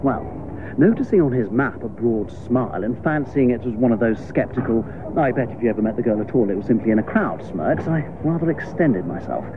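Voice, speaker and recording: An actor playing a breezy, chatty young upper-class English idler in a radio comedy, full of old-fashioned slangy exclamations. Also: male voice, medium pitch, animated, brisk, close to an everyday voice. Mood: flustered, confiding. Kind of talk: radio comedy drama acting. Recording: muffled, noisy radio play recording.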